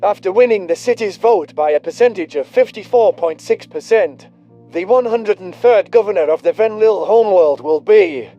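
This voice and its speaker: A man's voice: sits high, animated, moderate pace, dark tone. A man voicing a polished alien television news anchor in a science fiction audio story. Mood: suspenseful. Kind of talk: broadcast news announcing. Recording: dull broadcast sound, music bed.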